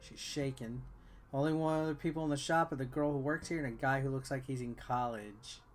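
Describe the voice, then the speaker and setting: A man's voice: mid-range voice, steady tone, a little fast. A man reading visual novel text aloud as a game player, with offhand, wry asides. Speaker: video game reviewer and let's player. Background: game music.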